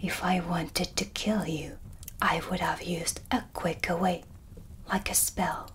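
A woman playing a calm, mysterious dark witch with dry, teasing menace, in a hushed, close-miked ASMR roleplay. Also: fairly low pitch, slight background noise. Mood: dryly teasing. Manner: slow, deliberate, hushed, dryly teasing. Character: dark witch, female, mysterious, sardonic, calm teacher of shadow magic. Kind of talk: soft-spoken whispered ASMR roleplay. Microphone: close studio mic for ASMR.

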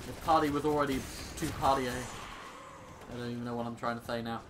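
Young man, casual game commentary, exasperated joking complaints, mild swearing, clear background game noise. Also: mid-range voice, steady tone, fairly quick. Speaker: gaming video host. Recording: game sound clearly behind voice. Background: video game action sounds.

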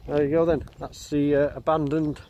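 A man giving casual walkaround commentary as a vlogger, halting and thinking aloud as he goes. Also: on the high side, flat delivery, warm tone, muffled recording. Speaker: vlogger exploring an abandoned building. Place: outdoors, walking around a building. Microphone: handheld camera mic. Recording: outdoor ambient noise.

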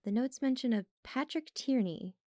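A woman's voice: a little high, normal speed, darkish tone, no room sound, clean studio sound, lightly acted. A woman playing an eager, curious young werewolf bookworm in an audio drama, enthusiastic. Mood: excited, curious. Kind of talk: audio roleplay drama acting.

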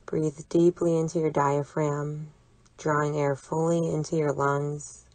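Female meditation guide, low register, gently instructive and deliberate, in a dry, echo-free recording. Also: level tone. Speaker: psychologist leading a relaxation exercise. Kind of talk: guided imagery meditation narration.

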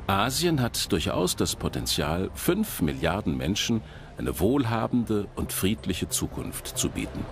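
Animated low-voiced older man lecturing. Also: measured pace, gravelly, over music. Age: older, over 60. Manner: explanatory, addressing audience with rhetorical questions. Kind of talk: lively popular-science lecture voice-over in documentary.

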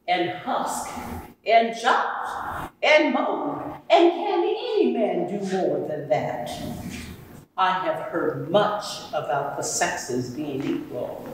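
A woman's voice: on the low side, a little hiss or hum. A woman reading the part of a fervent elderly woman orator with an impassioned, preaching cadence, in a museum hall. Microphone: far camera. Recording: echoey room, far from camera.

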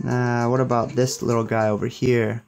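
A man's voice: mid-range voice, little pitch movement, normal speed, creaky, darkish tone, soft background music. A young man giving a casual hands-on tech demo in an upbeat, approving manner. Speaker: tech reviewer.

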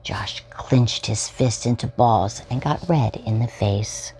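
A woman's voice: extremely low pitch, slow pace, whispered, rough recording. A woman in her forties reading a children's story aloud expressively, as a storytime host. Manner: expressive, addressing young children. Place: small furnished room at home, indoors.

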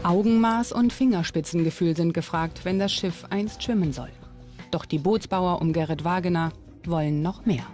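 A woman's voice: on the low side, moderate pace, a little mellow. A woman reading scripted documentary narration. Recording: music under the voice. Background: background music.

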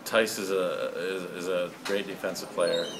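A man in his forties, a high school basketball coach, answering postgame questions in a measured, halting way with many fillers, recorded up close on a phone in a school hallway. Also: little pitch movement, heavy background noise. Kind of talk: postgame interview.

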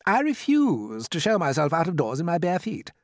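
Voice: medium pitch, huge pitch swings, normal speed, no echo, clean studio sound, pitched above the performer's own voice. A reader voicing a vain, bossy male centipede in a children's storybook, blustering and demanding. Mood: stubborn.

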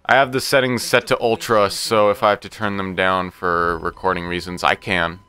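A man hosting a casual let's play, talking to himself and the game in an excitable, profane way. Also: low voice, normal speed. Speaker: gaming channel host.

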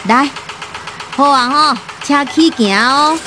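Slow-talking feisty old Taiwanese wife. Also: female voice, sits high, background noise. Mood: grumbling. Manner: nagging, earthy. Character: feisty elderly farm wife, grumbling but fond. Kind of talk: radio drama acting.

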